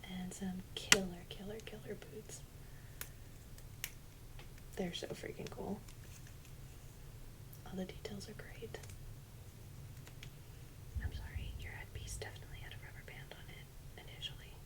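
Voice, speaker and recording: A woman doing soft-spoken ASMR in a breathy near-whisper, with a casual, gentle, musing manner. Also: mid-range voice, flat delivery, unhurried, pauses often, low-quality recording. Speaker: ASMR video host.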